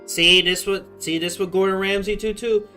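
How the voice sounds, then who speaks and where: A man's voice: sits high, fairly even intonation, normal speed, sharp tone, soft background music. A man with an American accent giving casual, slangy, profane live reaction commentary on a game. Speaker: gaming streamer.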